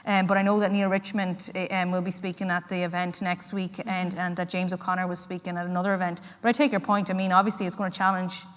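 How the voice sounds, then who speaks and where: A woman's voice: fairly low pitch, level tone, fast talker, hardly any pauses. A woman politician speaking at a public meeting, hesitant, with many fillers and restarts. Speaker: party politician. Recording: long hall reverb.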